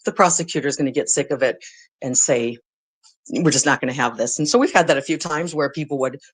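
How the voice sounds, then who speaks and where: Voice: medium pitch, quick pace, breathy edge. An American speaker explaining things informally as a guest, in a rambling, conversational and blunt way. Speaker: guest, former social services worker.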